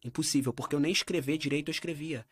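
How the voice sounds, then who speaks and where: A man from Brazil with a Brazilian Portuguese accent, in a relaxed talk, often stumbling and repeating words as he searches for what to say. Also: fairly high pitch, fast talker, breathy edge, dry recording. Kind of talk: casual conversation.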